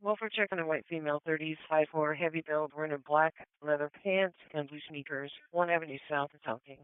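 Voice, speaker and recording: Tinny, higher-voiced male police radio dispatcher. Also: fairly even intonation, fast talker, clear, resonant tone, dry recording. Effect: two-way radio. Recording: tinny narrowband police radio scanner audio.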